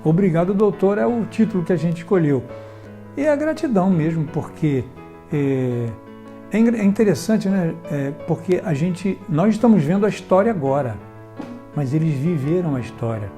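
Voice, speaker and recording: A man hosting a tribute web series, speaking earnestly and haltingly with many restarts. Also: on the high side, big pitch swings, dark tone, soft background music. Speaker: host.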